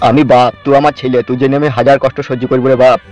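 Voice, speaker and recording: A man playing a wounded older village father in hospital, hushing and cautioning his son. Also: medium pitch, flat delivery, rapid-fire, faint music behind, close to an everyday voice. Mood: pleading.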